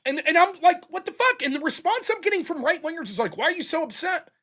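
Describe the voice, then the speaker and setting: Animated high-voiced man reading donations. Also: fast talker. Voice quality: high-pitched voice, heavy breathing. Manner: animated, profane, yells about politics. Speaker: livestream host reading super chats. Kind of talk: casual livestream chat.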